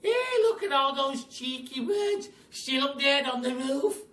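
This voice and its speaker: A man's voice: very high voice, lively intonation, unhurried, a bit bright, pitched above the performer's own voice, heavily acted. A man voicing a nasty, gleeful villainous wife in a children's storybook, scornful and cackling. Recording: roomy, distant mic, faint hiss.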